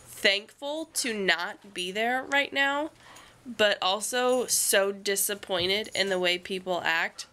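A woman's voice: mid-range voice, clear, resonant tone. A young woman with a bit of a cold, vlogging chattily to a handheld camera in her bedroom. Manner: chatty, animated, rambling. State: congested from a cold. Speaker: family vlogger. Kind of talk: casual vlog to camera. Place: bedroom at home. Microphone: handheld camera at arm's length.

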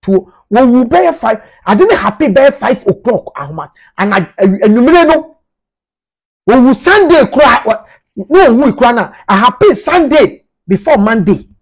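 Ghanaian man, thirties, informal commentator. High, husky voice, emphatic and repetitive delivery. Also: big pitch swings, normal speed, rough recording. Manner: emphatic, repeats phrases for emphasis. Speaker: media commentator. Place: small indoor office.

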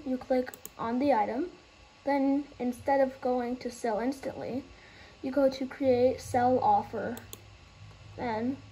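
A woman explaining a game trading method in a casual, halting way, losing her train of thought and starting over. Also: fairly high pitch, dark tone, a little hiss or hum, low-quality recording. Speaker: gaming tutorial host.

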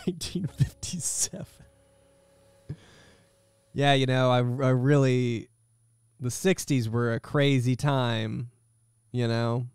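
A man's voice: medium pitch, clear, resonant tone, no echo. A man in his thirties chatting casually and jokingly with live viewers as he streams a video game from a room at home. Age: 30s. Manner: wry, joking. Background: faint guitar music.

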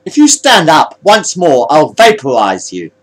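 A man's voice: a little high, big pitch swings, a little fast, husky, voice pushed up, poor audio. A man voicing a firm, defiant blue-skinned alien first officer giving clipped commands in a space story. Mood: forceful, threatening.

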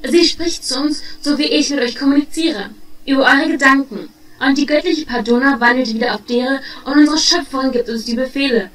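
A woman's voice: high-pitched, brisk, fairly bright tone. A woman playing a cold, imperious night-elf sorceress who threatens in a fantasy audio drama. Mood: commanding. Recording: roomy, distant voice over faint music.

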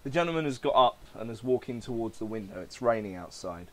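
A man's voice: mid-range voice, lively intonation, fast talker. A man hosting a podcast, informally narrating a comic book with hesitant, improvised scene-setting. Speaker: podcast host.

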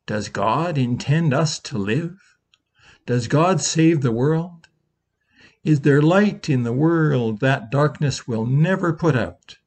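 A man in his sixties, a minister, reading a slow, reflective Easter meditation from his living room over a webcam, with long pauses. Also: medium pitch, darkish tone, husky.

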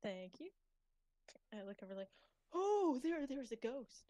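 Slow-paced woman, close dry sound. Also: high-pitched, very animated, dark tone, dry recording. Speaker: player in a tabletop role-playing game. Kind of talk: casual chat and in-game description.

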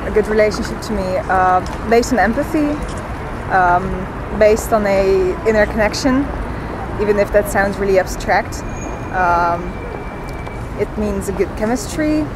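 A young woman from Hungary speaking English with a Hungarian accent, thoughtful and pausing often. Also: mid-range voice. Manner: thoughtful, with many pauses and fillers. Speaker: passer-by. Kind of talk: casual chat. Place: busy city square outdoors. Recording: far-off voice over street noise.

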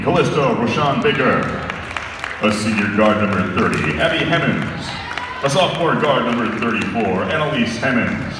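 An American man announces a sports game over a gym PA. The voice is heard from far off, heavily echoed, with clear noise around it. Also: mid-range voice. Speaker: public address announcer. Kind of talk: live sports arena announcing. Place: large indoor school gymnasium. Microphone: far camera picking up PA. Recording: very echoey gym PA, distant, noisy.

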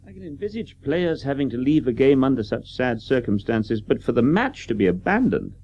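An actor in a radio play playing a courteous, urbane aristocrat with a formal, genteel manner. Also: male voice, mid-range voice, sing-song, brisk. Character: courteous aristocratic English lord, urbane family friend. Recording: dull, hissy old radio recording.

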